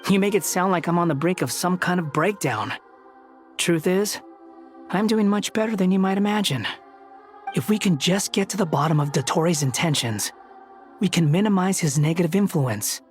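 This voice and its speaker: A man's voice: sits high, animated, moderate pace, soft background music. A man playing an aloof, self-assured young man in an anime-style game, dry and confident.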